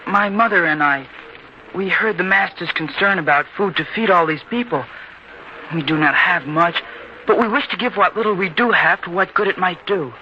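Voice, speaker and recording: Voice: medium pitch, normal speed, natural voice. An actor playing an earnest, doubtful young boy who questions his mother in an old-time radio drama. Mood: shy, respectful. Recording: dull lo-fi radio sound, faint noise.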